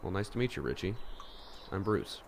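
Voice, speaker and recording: A man playing a rich high school boy in an audio drama, earnest and questioning in his manner. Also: expressive, moderate pace, creaky, a little hiss or hum. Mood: gentle, concerned. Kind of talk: amateur audio drama acting.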